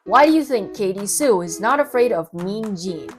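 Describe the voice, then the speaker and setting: A woman reading a children's story aloud as an expressive narrator, with dramatic, rhythmic and playful delivery. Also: medium pitch, normal speed. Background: children's music.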